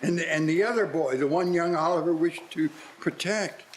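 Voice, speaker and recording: A man acting a shaken, anxiously questioning old man in a radio play, earnest, halting and theatrical. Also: on the high side, a little hiss or hum, natural voice, rough recording.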